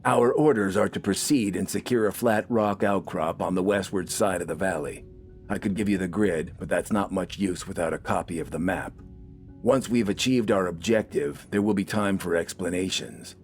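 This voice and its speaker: A man voicing a gruff, bossy, scornful army corporal in an audio story, barking curt, sarcastic orders. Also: sits low, moderate pace, soft background music. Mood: stern, commanding.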